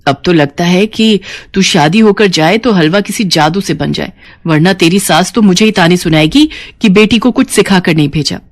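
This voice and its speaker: A woman's voice: lowish voice, normal speed, hardly any pauses. A woman voicing an exasperated middle-aged mother who nags and scolds in a storybook tale. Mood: exasperated scolding.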